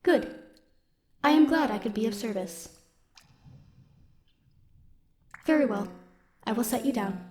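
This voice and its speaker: A woman playing a stoic, dutiful military robot in an audio roleplay, speaking in a formal, measured, protective way. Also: on the high side, crowd noise, lightly acted. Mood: composed, caring. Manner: formal, measured, literal wording. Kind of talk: scripted audio roleplay, speaking softly to one listener.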